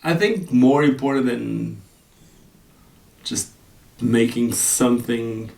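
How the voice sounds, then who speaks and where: A man hosting a casual podcast chat in a skate shop, relaxed and chatty, laughing often. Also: medium pitch, takes it slowly, creaky. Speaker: skate vlogger and podcast host. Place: skate shop, sound not the best.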